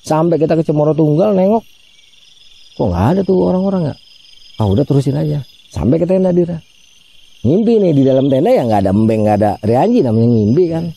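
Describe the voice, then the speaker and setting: A man's voice: a little high, lively intonation, fairly quick, gravelly, warm tone, husky. A man telling a ghost story in a chatty way, often addressing his listener by name and acting out the voices he quotes. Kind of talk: casual spoken ghost story.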